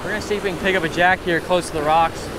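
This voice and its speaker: A young man talking to camera while fishing off a rock jetty in rough sea, hyped and exclamatory, recorded on a body-worn action camera. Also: high voice, steady tone, rapid-fire, noisy background. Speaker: fishing vlogger. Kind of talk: excited outdoor fishing commentary.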